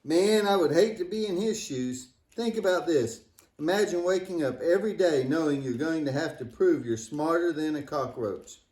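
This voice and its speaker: Man as wisecracking kid puppet for church show: highish voice, playful exclamations, echoey room. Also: normal speed, darkish tone, natural voice. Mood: playful, excited. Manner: playful, joking, exaggerated exclamations. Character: Michael, cheeky, wisecracking, imaginative boy puppet.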